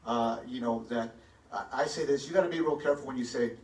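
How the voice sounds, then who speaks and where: A man's voice: mid-range voice, fast. Confident man, echoey hall from afar. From Texas. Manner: confident, rising to emphatic. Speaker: mayoral candidate at a forum. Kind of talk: campaign forum answer. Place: large hall stage, seated panel. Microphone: handheld mic, filmed from far back. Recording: echoey hall, far from the phone.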